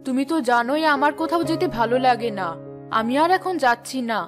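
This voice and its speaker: Lady doing a cartoon mother-in-law, high voice, kindly homebody, gently bossy, music behind. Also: fairly quick, close to an everyday voice. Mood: gently advising. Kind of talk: Bengali cartoon voice acting.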